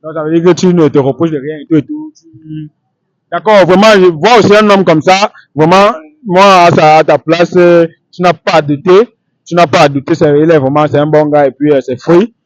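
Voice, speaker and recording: Male street interview host, higher register, halting and repetitive, dry recording. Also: no room sound, muffled recording.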